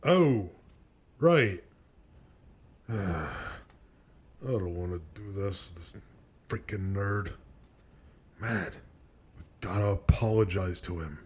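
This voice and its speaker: A man's voice: deep voice, expressive, slow, pauses often, darkish tone, husky, exaggerated voice. A man playing a grudging, dry wrestling co-commentator in an amateur web cartoon. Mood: reluctant. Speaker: sports commentator.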